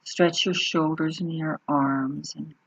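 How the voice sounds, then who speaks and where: A woman leading an unscripted guided meditation in an unhurried manner, pausing between short phrases. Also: deep voice, fairly even intonation, creaky, fairly bright tone, breathy edge, dry recording, muffled recording.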